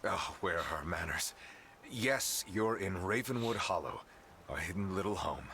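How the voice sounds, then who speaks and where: A courteous werewolf from a cozy video game. The voice is deep yet forward and bright, welcoming in manner. Faint ambient noise sits behind it. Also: male voice, expressive, moderate pace, creaky, exaggerated voice. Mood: warm welcome. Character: friendly man who turns werewolf under the moon.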